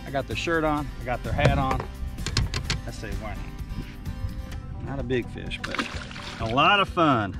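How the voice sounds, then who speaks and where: A middle-aged man vlogging from his fishing boat out on a lake, chatty and excited as he pitches gear and talks about the bite. His voice sits at an ordinary male pitch, caught by a camera mounted on the boat. Music plays loudly behind him. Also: unhurried, long pauses. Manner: enthusiastic, chatty salesman pitch with fillers. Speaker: fishing guide and channel host. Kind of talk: outdoor fishing vlog, product talk. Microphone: action camera on the boat.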